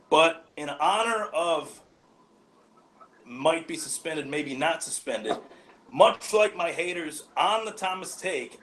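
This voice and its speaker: Lively man bantering on a sports podcast. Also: fairly high pitch, slight background noise. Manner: cocky, playful.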